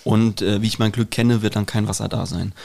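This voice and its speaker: A man's voice: on the low side, fairly even intonation, fairly quick, darkish tone. A man co-hosting a podcast from a kitchen, chatting casually and searching for his words with a wry touch. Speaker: podcast co-host. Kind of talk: casual chat. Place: kitchen at home. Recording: clear background noise, some clicks.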